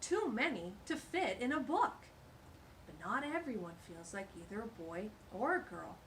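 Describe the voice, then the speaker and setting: A woman's voice: fairly high pitch, sing-song, normal speed, a little hiss or hum. A woman hosting a children's storytime, reading a picture book aloud with gushing, exclamatory asides.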